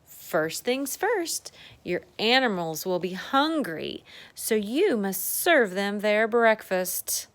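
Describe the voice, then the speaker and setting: Woman, children's read-aloud, slow pace, lively swooping intonation, expressive exclamations, questions to young listeners. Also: medium pitch. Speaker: children's circle-time teacher and storybook reader. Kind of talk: children's storybook read-aloud with chatty asides.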